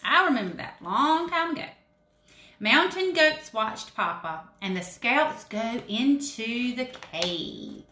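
A woman's voice: a little high, expressive, slow pace, sharp tone, reverberant. A woman in her thirties reading a picture book aloud to children, explaining carefully and putting questions to her listeners. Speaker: children's story-time reader. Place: indoors in a home room with wood cabinets and brick wall.

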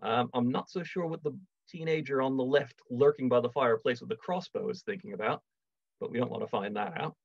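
A middle-aged man giving a measured online lecture on a video call, with wry asides. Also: mid-range voice, gravelly, no room sound. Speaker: lecturer, archaeology project manager. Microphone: video call webcam. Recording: dull, dry video call audio.

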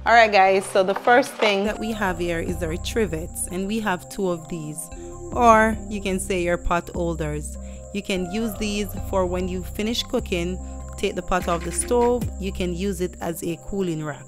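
A woman's voice: mid-range voice, moderate pace, music in the background. Chatty young woman unboxing in kitchen. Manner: upbeat, chatty, addresses viewers directly. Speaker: lifestyle vlogger. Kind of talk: casual product unboxing. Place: home kitchen, indoors. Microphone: clip-on lapel mic.

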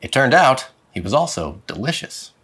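A man reading a children's picture book aloud with dramatic, expressive phrasing. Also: medium pitch, moderate pace, background noise. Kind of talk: expressive storybook read-aloud. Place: indoor studio with plain dark backdrop.

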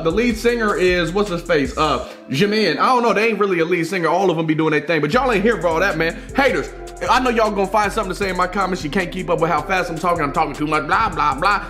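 Hyped young american dude cussing at his viewers, high voice, talks a mile a minute. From United States. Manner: loud, energetic and profane, addresses viewers directly. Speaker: YouTube reaction host. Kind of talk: hyped reaction video talk. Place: small indoor room with a clothing rack.

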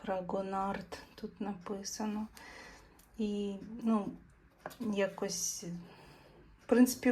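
A woman's voice: medium pitch, dark tone. A woman filming a casual travel vlog, talking to her viewers as friends in a personal, chatty way. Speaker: travel vlogger.

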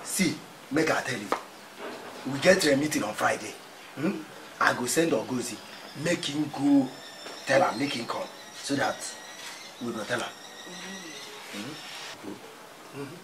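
A man's voice: medium pitch, a little slow, long pauses, noisy background, natural voice. A man with a Nigerian accent playing a scheming, commanding church leader in a film. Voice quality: commanding, threatening. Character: scheming middle-aged male church leader. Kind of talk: Nigerian Pidgin film acting. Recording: echoey room with music.

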